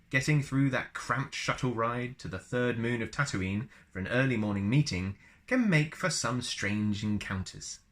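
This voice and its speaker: A man's voice: fairly low pitch, fairly bright tone. A man reading a book aloud as a storyteller, with playful, chatty asides for young listeners. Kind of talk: read-aloud narration with casual asides.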